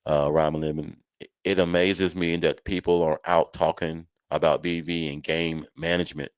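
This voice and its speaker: A man's voice: extremely low pitch, flat delivery, moderate pace, smooth, no room sound. A man hosting a college football show in a casual, folksy, conversational way, speaking to listeners like friends. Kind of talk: casual live sports chat.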